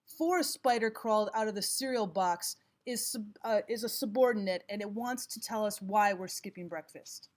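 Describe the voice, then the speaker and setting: A woman narrating a scripted lesson as a teacher, reading items aloud in a measured, instructional way. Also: mid-range voice, darkish tone. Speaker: English grammar teacher.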